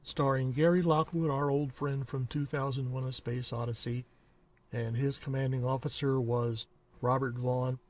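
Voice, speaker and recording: A man's voice: medium pitch, level tone, moderate pace. A middle-aged or older man co-hosting a podcast, chatting casually with dry, wry asides. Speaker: podcast co-host. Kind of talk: casual chat.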